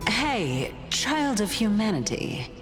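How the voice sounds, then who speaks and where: A weary, caring woman who talks very slowly in a lowish voice and sighs often. A long reverb trails after her words, with game music beneath. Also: very animated. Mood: concerned. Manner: slow, sighing and concerned. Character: weary, caring woman questioning a reckless scholar.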